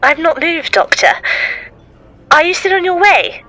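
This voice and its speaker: A woman's voice: extremely high pitch, very animated, unhurried, bright, forceful tone, heavily acted. A woman acting in an audio drama as a plucky, exclamatory young companion to a time traveller. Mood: plucky, uneasy.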